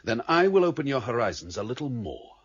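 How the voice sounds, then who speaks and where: A grave, commanding leader of a criminal underworld in a radio play. He speaks formally and with authority, his pitch moving widely for dramatic weight. The recording is slightly dull and boxed in. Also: sing-song, fairly quick, husky. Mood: stern, sombre.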